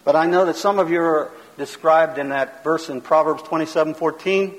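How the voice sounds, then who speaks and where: Loud, high-voiced man preaching in a hall. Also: normal speed. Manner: loud, emphatic, rising to a preaching cadence. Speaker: pastor teaching a seminar. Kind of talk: sermon-style teaching lecture. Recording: long hall reverb.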